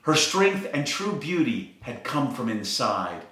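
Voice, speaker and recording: A man reading a rhyming children's picture book aloud in an expressive, sing-song way, in a very echoey room. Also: medium pitch, slow. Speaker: storyteller.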